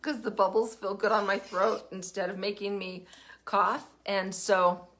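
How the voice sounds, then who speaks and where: An older woman hosting a casual livestream, chatty and meandering, her throat still rough from a lingering cough. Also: medium pitch, level tone, a little slow. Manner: chatty, warm-hearted, meandering with fillers. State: recovering cough, cough drop in mouth. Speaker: podcast host. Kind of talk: casual livestream talk to viewers.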